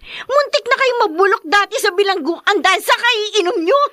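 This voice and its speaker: An anguished young daughter in a melodramatic radio play. Her voice is very high and piercing, full of wounded bitterness and pleading. The sound is dry and close. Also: expressive, moderate pace, pushed and bright, dry recording, exaggerated voice. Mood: exasperated. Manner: emotional, pleading outbursts. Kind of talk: melodramatic Filipino radio drama acting.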